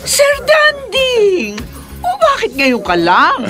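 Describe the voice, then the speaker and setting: A greedy brothel madam in a Filipino radio drama. She has a Filipino accent and very animated pitch, pushy and domineering, with faint music underneath. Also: slow pace, exaggerated voice. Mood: coaxing, teasing. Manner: coaxing with clients, scolding and domineering with staff. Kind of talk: Philippine radio drama acting.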